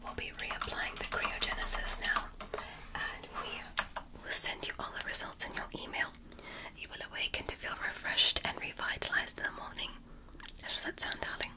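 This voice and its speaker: A woman whispering as a gentle alien woman doctor in an ASMR roleplay, softly praising and reassuring her patient. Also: lightly acted. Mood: soothing. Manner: soft, slow, praising and reassuring.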